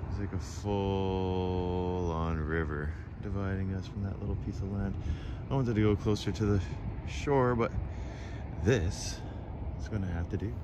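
A man in his thirties talking casually to a handheld camera about his travels, chatty and relaxed, full of fillers. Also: low-pitched, a little slow, background noise. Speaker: travel vlogger. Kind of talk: casual vlog talk to camera. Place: inside an SUV and outdoors by a coastal beach. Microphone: handheld phone or camera at arm's length.

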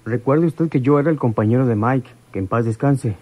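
An actor playing an eager but resentful, defensive henchman with a husky voice in a radio serial. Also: medium pitch, brisk, no room sound, lo-fi sound, natural voice. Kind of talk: radionovela acting.

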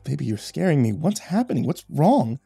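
A man's voice: fairly high pitch, very animated, brisk, creaky, dark tone, breathy edge, no room sound, faint music behind, full character voice. A man playing an exasperated, worried husband pleading urgently with his wife in a horror story. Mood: fearful pleading. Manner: urgent, emotional. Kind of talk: dramatic horror story dialogue.

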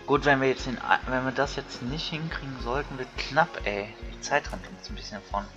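A man's voice: mid-range voice, a little fast, lo-fi sound. A man giving casual let's play commentary, thinking aloud in colloquial speech. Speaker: gaming video creator. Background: game music.

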